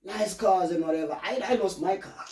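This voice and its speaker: A man in his late twenties with a South African accent, a brand founder telling his story in a relaxed podcast interview, slipping in local words. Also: sits high, moderate pace, a crowd in the background. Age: 20s. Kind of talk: casual interview conversation. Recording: roomy, from a distance.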